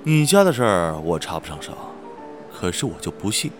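A man's voice: sits low, big pitch swings, a little fast, music in the background. A man acting a gossipy, teasing young rich friend in an audio drama, with a casual way of talking. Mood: casual, probing.

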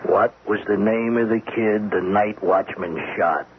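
Actor as dogged cop, low, tinny recording. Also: male voice, steady tone, unhurried, natural voice. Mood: insistent. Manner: blunt, informal, pressing. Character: dogged, streetwise police lieutenant questioning people. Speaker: radio drama actor. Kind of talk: radio drama acting. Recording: very tinny, noisy old radio recording.

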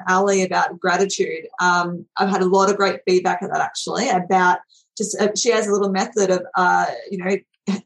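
A woman hosting a podcast, chatting in an affirming, easygoing way and filling her replies with little ums and yeahs. Her voice sits at an ordinary middle pitch. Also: poor audio. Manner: warm, affirming, chatty with fillers. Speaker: podcast host. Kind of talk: podcast interview.

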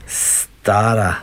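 A man's voice: on the low side, fairly bright tone, breathy edge, full character voice. An actor playing a good-natured, chatty married local man in a radio play, folksy and colloquial.

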